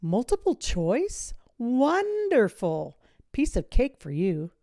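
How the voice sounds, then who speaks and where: A woman reading a children's story, voicing an energetic, no-nonsense woman coach with an emphatic, driving delivery. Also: a little high, slow pace, no echo, clean studio sound, voice pushed up, heavily acted. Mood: brisk, pleased. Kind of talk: children's audiobook character voice.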